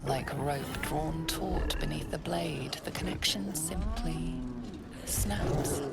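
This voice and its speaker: A low, gravelly female narrator for a fantasy game, unhurried and ominous. Her hushed, deliberate delivery sits over clear game music. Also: exaggerated voice. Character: calm omniscient female narrator. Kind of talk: scripted second-person narration.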